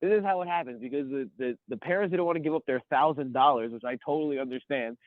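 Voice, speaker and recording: A man in his thirties with a cold, chatting as a podcast co-host and rambling excitedly through his stories. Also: medium pitch, fast, no echo. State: sniffly from a cold. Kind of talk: casual chat. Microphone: phone. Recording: tinny phone line.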